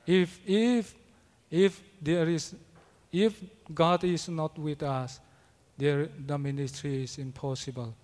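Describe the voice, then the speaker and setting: Slow halting preacher in a big hall. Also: male voice, a little high, steady tone, darkish tone. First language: not English. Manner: halting non-native English, frequent restarts. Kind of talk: sermon. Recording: long hall reverb.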